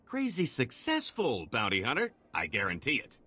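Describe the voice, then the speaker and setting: A man playing a slippery, boastful middle-aged fugitive con artist in a video game, talking in theatrical, wheedling salesman patter with a husky voice. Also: medium pitch, huge pitch swings, normal speed, bright, forceful tone, full character voice. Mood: upbeat, slick.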